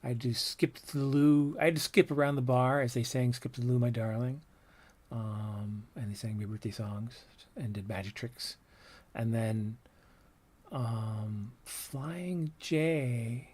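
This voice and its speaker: A man in his fifties with a low, soft voice, reminiscing casually on a livestream about his old art, with many ums and trailing thoughts. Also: fairly even intonation, a little slow, husky. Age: 50s. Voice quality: low, soft voice that doesn't project. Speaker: comics artist showing his old work.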